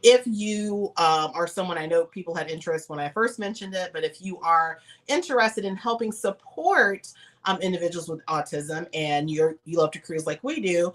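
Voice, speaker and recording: A woman's voice: fairly low pitch, normal speed. A woman hosting a casual livestream chat who sounds congested and nasal from a cold, greeting viewers with frequent "um"s. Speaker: host and travel advisor.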